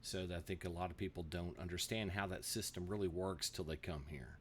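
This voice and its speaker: Guy interviewing someone, voice on the low side, lots of "excellent" and "absolutely", keeps it casual. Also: steady tone, fairly quick, slight background noise. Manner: affirming, prompts with questions.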